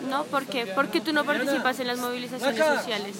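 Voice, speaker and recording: A woman's voice: high-pitched, fairly quick. A woman, interviewing someone outdoors and rephrasing her questions to probe for answers. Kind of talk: street interview. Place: city park outdoors. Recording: outdoor crowd noise. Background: street crowd.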